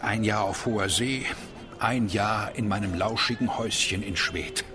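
An actor playing a boastful, lecherous, wealthy impresario with a smug manner in a radio drama. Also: male voice, on the low side, normal speed, over music, close to an everyday voice. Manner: smug, self-satisfied. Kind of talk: theatrical radio drama acting.

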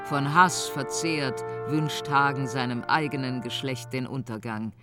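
Narrator for fantasy audio drama: unhurried, deliberate scripted storytelling over clear music. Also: mid-range voice, fairly even intonation. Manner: measured, storytelling.

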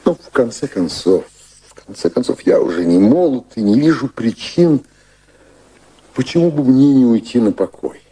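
An actor playing a devoted, proper older gentleman in a radio play, with a husky voice and a courtly, halting manner, earnestly repeating himself. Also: medium pitch, lively intonation. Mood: resigned, earnest.

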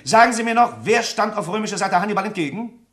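A man's voice: high-pitched, fast talker, a bit bright. An actor playing a formal, pedantic history teacher in a radio play, officious and precise in his wording. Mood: brisk, questioning. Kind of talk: German radio play acting.

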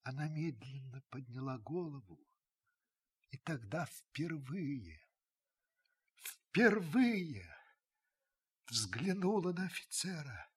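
An actor playing a grave, watchful older householder who narrates a radio drama, husky and breathy, with solemn dramatic pauses. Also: male voice, a little high, big pitch swings, exaggerated voice. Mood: hushed, intent. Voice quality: husky, breathy, sinking to whispers. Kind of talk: dramatic literary narration, old radio play.

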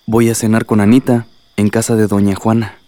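A man's voice: lowish voice, little pitch movement, brisk, warm tone, close to an everyday voice. A man playing a smitten young villager with a Mexican accent in a radio soap opera. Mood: anxious, hurried. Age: young adult.

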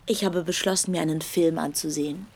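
An actress playing a teasing, forward single woman with a breathy, husky voice in a radio play. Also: low voice, fairly even intonation, fairly quick, a little mellow. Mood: playfully flirtatious. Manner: coquettish, playful.

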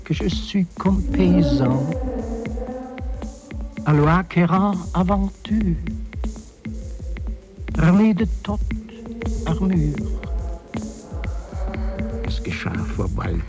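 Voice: medium pitch, big pitch swings, very slow, pauses often, highs cut off, full character voice. An actor playing a solemn, mysterious knight of old, reciting in an archaic, declaiming way in a radio drama. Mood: solemn, storytelling. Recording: electronic music humming behind.

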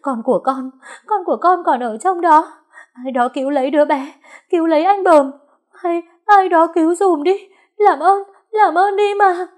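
A woman voicing a distraught young mother in a dramatic audio story, pleading in high, broken, repeated cries. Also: moderate pace. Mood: anguished, pleading.

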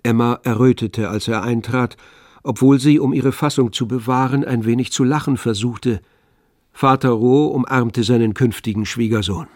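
A man's voice: medium pitch, fairly even intonation, moderate pace, a little mellow, dry recording, clean studio sound. A man narrating a literary German radio drama as a scripted storyteller. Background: music.

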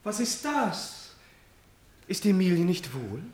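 A man's voice: high voice, big pitch swings, slow, darkish tone, full character voice. An actor playing an alarmed, horrified nobleman in a classical radio tragedy, agitated and theatrical, heard in an echoey room. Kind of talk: classical German stage tragedy as radio drama.

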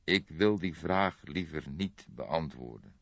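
A man voicing a weary, reflective old detective who speaks in a deliberate, solemn way in an audiobook. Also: a bass voice, lively intonation, gravelly, heavily acted. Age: older. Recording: boxy, echoey, dull recording.

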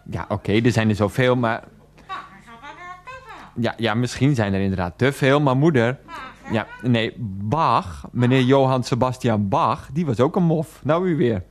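A man playing a grown son in a radio play, talking on the phone to his mother in a halting, protesting, placating way. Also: mid-range voice, natural voice. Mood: indignant, then wry, patient. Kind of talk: 1990s Dutch radio play, one-sided phone call.

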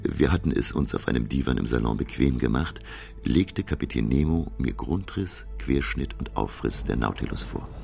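Inquisitive professor-narrator, radio drama. Rock-bottom gravelly bass, formal and wondering; music underneath. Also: male voice, animated, moderate pace, darkish tone, heavily acted. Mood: pensive narrating. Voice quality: very deep, gravelly. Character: inquisitive, astonished male professor, narrating his sea adventure. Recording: echoey room, music behind.

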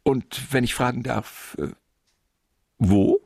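Suave, slow-talking, gravelly criminal mastermind. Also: male voice, mid-range voice, darkish tone, no room sound, exaggerated voice. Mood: suave bargaining. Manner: courtly, deliberate, verbose, pompous. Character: suave, wily, verbose male criminal mastermind hunting treasure. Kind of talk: German radio crime drama acting.

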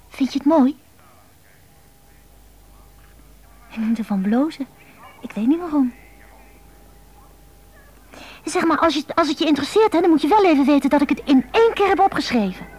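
A high-pitched teenage schoolgirl, confiding and impulsive. She swings between defiance and tenderness in intimate radio-play phone monologues, lonely underneath it all. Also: lively intonation, brisk, pauses often, darkish tone. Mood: eager. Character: lonely, moody seventeen-year-old schoolgirl confiding by phone.

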